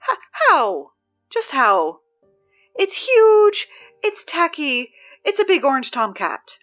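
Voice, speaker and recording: A woman's voice: high-pitched, sing-song, slow pace, fairly bright tone, dull audio, faint music behind, full character voice. A woman playing a sarcastic, exasperated teen announcer. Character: exasperated teenage girl reading school announcements. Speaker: school announcer. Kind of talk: audio drama reading school announcements.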